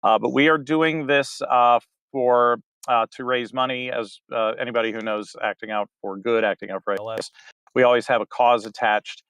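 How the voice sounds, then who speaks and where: Rambling, smooth-voiced director talking at length. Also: male voice, mid-range voice, steady tone, moderate pace, fairly bright tone, dry recording, rough recording. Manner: rambling, many fillers and restarts. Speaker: theater director, guest. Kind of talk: casual interview chat.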